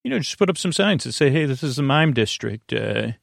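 A man hosting a bedtime podcast, talking in a meandering, halting way with stammers and tangents, meant to soothe. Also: medium pitch, lively intonation, vocal fry, dry recording, clean studio sound. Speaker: podcast host.

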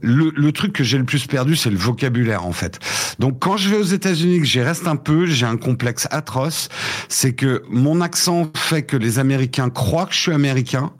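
A man's voice: mid-range voice, normal speed. Chatty man, American-born, telling his story. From United States. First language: English. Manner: animated storytelling with frequent restarts. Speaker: guest. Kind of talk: casual interview chat.

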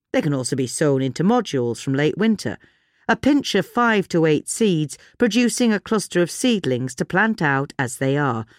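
Lady reading a gardening article, lowish voice, really varied intonation, dry sound. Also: no echo. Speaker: article reader. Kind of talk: scripted gardening narration.

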